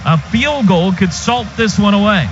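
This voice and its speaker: An American man doing live football play-by-play for radio, in an excitable, clipped running call of each play. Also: sits high, a little slow, over music. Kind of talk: live sports commentary. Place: stadium radio booth. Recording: noisy, dull stadium radio broadcast.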